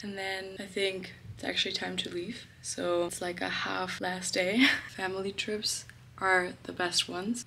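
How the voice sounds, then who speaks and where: A woman's voice: medium pitch, fairly bright tone, a little hiss or hum. Chatty young woman vlogging at arm's length. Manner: chatty, upbeat, says "literally" and "really" a lot. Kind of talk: casual vlog to camera. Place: hotel room. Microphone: handheld camera at arm's length.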